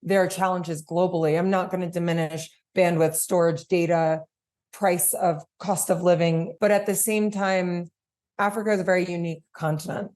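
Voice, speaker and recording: Woman, slightly low pitch, conversational interview guest. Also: steady tone, normal speed, darkish tone. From New York, United States. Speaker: guest, podcast platform chief executive.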